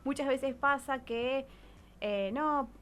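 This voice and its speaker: A woman's voice: sits high, slow, fairly bright tone, a little hiss or hum. An Argentine woman explaining things conversationally as a psychologist on the radio, often adding short tag questions to seek agreement. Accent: Argentine Spanish. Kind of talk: conversational explanatory interview talk. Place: radio studio.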